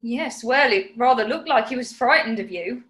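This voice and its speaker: Plucky English maid, played by a woman, high and very animated, polite, chirpy, 1940s radio comedy. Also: moderate pace. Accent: British. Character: plucky, cheerful, polite young English housemaid.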